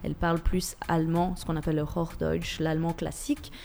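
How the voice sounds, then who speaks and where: A woman's voice: sits low, flat delivery, fairly quick, gravelly, darkish tone. A woman from Switzerland with a Swiss French accent, a radio guest who talks with many hesitations and restarts. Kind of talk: radio interview.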